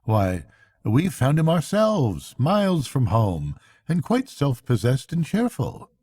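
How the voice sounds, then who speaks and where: A loyal little mole from a bedtime storybook, played by a man. The voice sits well up high and talks plainly and eagerly, with a good heart. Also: wildly swooping pitch, slow, darkish tone, breathy edge, no echo, studio quality, full character voice. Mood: light, reassuring. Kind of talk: bedtime storybook character reading.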